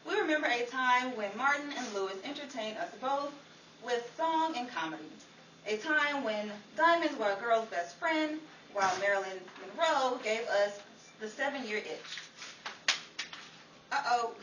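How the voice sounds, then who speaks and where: A woman's voice: on the high side, expressive, measured pace, fairly bright tone. Theatrical woman narrator in a roomy space. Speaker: narrator, host. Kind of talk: scripted nostalgic old-time radio narration. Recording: roomy, distant live recording with audience, noise.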